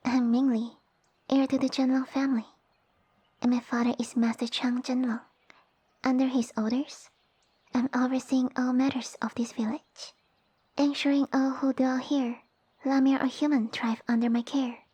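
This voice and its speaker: Haughty young lamia heiress, played by a woman, high and breathy, pompous and bossy, for ASMR roleplay audio. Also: little pitch movement, moderate pace, mellow. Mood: haughty, scolding. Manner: pompous, formal, commanding speech. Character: haughty, sassy young lamia noblewoman, tiny monster girl.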